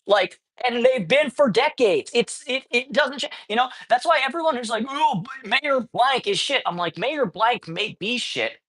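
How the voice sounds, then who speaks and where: A man's voice: very high voice, lively intonation, moderate pace, few pauses, fairly bright tone. A man holds forth on his livestream in a loose, rambling way. He curses freely and trips over his own sentences, circling back to restart his point. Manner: ranting, profane, rambling with many restarts. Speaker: livestreamer and cat rescuer. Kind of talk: casual livestream chat. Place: cat colony.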